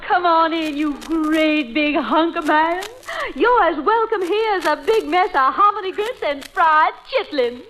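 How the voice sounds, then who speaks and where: An actress playing a flirtatious, gushing Southern belle with a syrupy American Southern drawl in 1940s radio comedy. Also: female voice, extremely high pitch, unhurried. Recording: vintage radio recording with faint noise.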